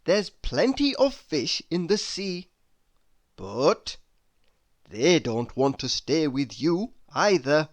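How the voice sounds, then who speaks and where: A man reading humorous quotes aloud in a deliberate, mock-inspirational manner. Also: fairly high pitch, very animated. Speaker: reader.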